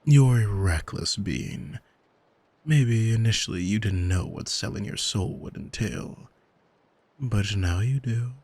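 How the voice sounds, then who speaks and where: A man playing an arrogant, manipulative demon in an ASMR roleplay, coaxing in a smug, soothing, hypnotic manner with a breathy, husky voice. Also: lowish voice, darkish tone. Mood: smug, taunting. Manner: smug, deliberate, soothing, commanding. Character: arrogant male demon, soul-owning manipulator, calm and seductive.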